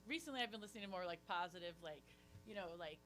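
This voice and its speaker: A woman's voice: on the low side, expressive, racing, fairly bright tone, a little hiss or hum. A woman hosting live radio, effusive and gushing, with many fillers. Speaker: radio host. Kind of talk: casual live radio chat.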